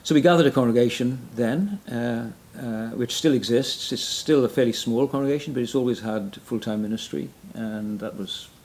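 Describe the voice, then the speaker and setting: Gravelly older Welsh man. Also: mid-range voice, moderate pace, few pauses. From Wales. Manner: frequent backchannel mm and yeah, soft self-deprecating laughs. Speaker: retired pastor, guest. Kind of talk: reflective interview conversation.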